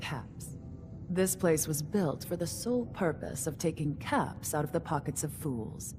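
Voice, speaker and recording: An actress playing a haughty, calculating gang leader in a video game, refined, condescending and sardonic. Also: female voice, low-pitched, expressive, a little slow, over music. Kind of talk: video game dialogue acting.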